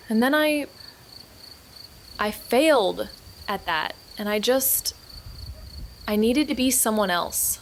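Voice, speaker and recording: A woman playing a guilt-ridden young woman in an audio drama, speaking in an emotional, halting, confessional way. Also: fairly high pitch, animated, a little hiss or hum. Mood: anguished, defensive. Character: Mags, guilt-ridden, anguished young woman, former best friend. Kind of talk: naturalistic audio drama acting.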